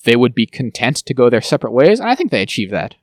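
A man talking quickly with a lively, swinging pitch, like a co-host who's deep in a casual chat. The sound is dry and close, with almost no room around it. He rushes through his thoughts and drops in quick mocking voices as he goes. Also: dry recording. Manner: fast, animated, breaks into quick mock impressions. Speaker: podcast co-host. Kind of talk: casual chat about TV shows.

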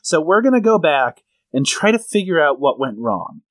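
A man's voice: on the high side, big pitch swings, normal speed, dry recording. A man co-hosting a comedy podcast in casual chat, explaining things at length and telling stories. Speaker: podcast co-host.

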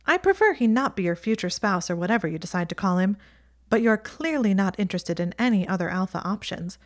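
A woman's voice: medium pitch, lively intonation, brisk, close to an everyday voice. A woman voicing a chatty, teasing young witch girl who speaks in a lofty, blunt way.

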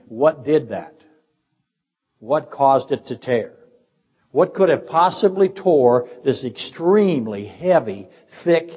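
A husky-voiced older man preaches from the pulpit, teaching by throwing one rhetorical question after another. His voice sits at an ordinary pitch, and it rings through a large church with long reverb. The recording itself sounds dull and muffled. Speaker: pastor. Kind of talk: sermon. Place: church sanctuary.

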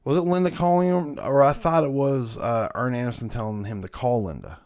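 Loud, gravelly man chatting on a podcast. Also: mid-range voice, a little fast. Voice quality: loud voice that carries. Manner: profane, storytelling, laughing often. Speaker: podcast co-host. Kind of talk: casual chat.

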